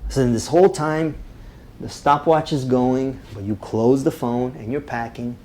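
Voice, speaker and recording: A man from Romania, teaching a live public speaking workshop, energetic and often checking in with the group. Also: mid-range voice, unhurried, a little hiss or hum. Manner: energetic, checks in with "right?" constantly.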